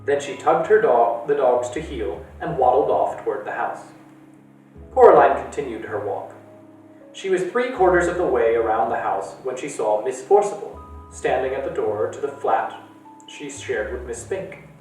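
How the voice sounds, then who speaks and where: A man from a library reading a storybook aloud in a lively, animated way. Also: mid-range voice, moderate pace. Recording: echoey room, distant mic, faint music.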